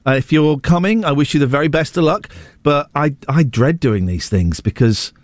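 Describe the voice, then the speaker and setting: A man with an English accent presenting a casual radio show in an excitable, rambling, jokey way. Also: on the high side, normal speed, no room sound. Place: radio studio.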